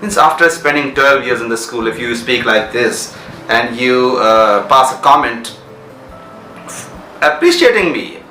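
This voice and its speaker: A man's voice: mid-range voice, lively intonation, unhurried, pushed and bright, reverberant, far from the mic, soft background music, low-quality recording. A man with a Pakistani English accent teaching a grammar lesson, repeating points and checking understanding with questions. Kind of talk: classroom grammar lecture.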